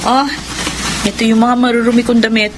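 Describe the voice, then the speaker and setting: A woman playing a lively, teasing younger sister in a Filipino radio drama. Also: a little high, a little fast, noisy background, lightly acted.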